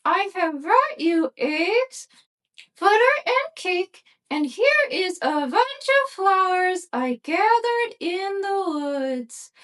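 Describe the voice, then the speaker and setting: A woman voicing a sweet young girl in a red cloak through a ventriloquist doll, speaking high, slow and sing-song. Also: sing-song, fairly bright tone, heavily acted. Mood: innocent.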